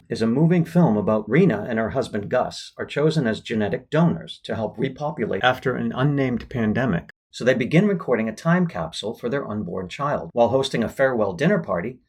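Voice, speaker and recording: A man narrating film reviews to viewers as a vlog host, in a measured, scripted way. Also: mid-range voice, slightly rough.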